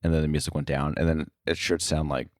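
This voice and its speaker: A man's voice: a bass voice, little pitch movement, fast talker, no echo, studio quality. A man speaking casually as a podcast guest, a sound effects editor with a self-deprecating, chuckling way of talking. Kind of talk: casual interview conversation.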